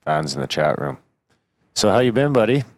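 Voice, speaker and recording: A man's voice: on the low side, very animated, normal speed, a little mellow, dry recording. A man co-hosting a podcast, chatting in an easygoing, bantering way. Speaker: podcast co-host. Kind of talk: casual podcast chat.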